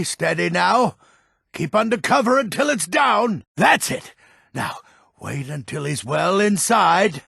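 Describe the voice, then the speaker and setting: A gruff, grumpy old man of authority in a fantasy video game. His voice is extremely hoarse and thick with gravel. He speaks bluntly and weightily, in unhurried phrases with an old-world turn. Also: a little high, fairly bright tone, no echo, clean studio sound, heavily acted. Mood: alarmed, urgent. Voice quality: raspy, gravelly.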